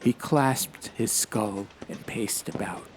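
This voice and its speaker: A man's voice: lowish voice, slow pace, slight background noise. A man narrating a fantasy audiobook in a dramatic, literary style. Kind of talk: scripted fantasy story narration. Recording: music behind the voice.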